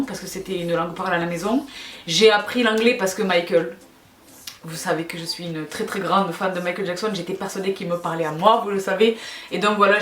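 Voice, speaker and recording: Woman, casual video host, affectionate, emphatic, repeats herself, echoey room sound. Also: mid-range voice. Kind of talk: casual question-and-answer chat to followers.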